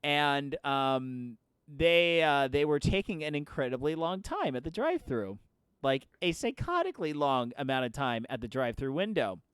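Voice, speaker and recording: A man in his thirties with a voice higher than most men's, chatting casually while livestreaming a game and telling stories with lots of laughter. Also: sing-song, moderate pace, a bit bright, clean tone, no echo, studio quality. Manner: laughing storyteller who acts out quoted voices. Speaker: streamer.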